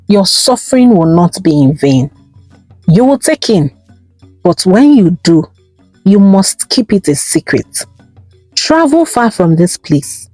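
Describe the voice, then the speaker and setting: A woman voicing a gentle yet commanding holy spirit in a folktale vision. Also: mid-range voice, dry recording, poor audio.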